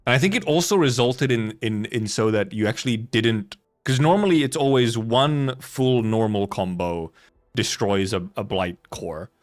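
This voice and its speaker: A man's voice: fairly low pitch, moderate pace. A man doing casual live game commentary as a streamer, rambling and correcting himself as he goes. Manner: rambling, loosely self-correcting, casual swearing.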